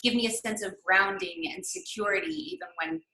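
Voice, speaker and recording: Woman workshop leader, bright and clear, reflective and unhurried with lots of pauses; video-call audio in a roomy space. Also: medium pitch. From United States. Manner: reflective, searching, with frequent pauses and fillers. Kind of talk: online master class talk. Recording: echoey room.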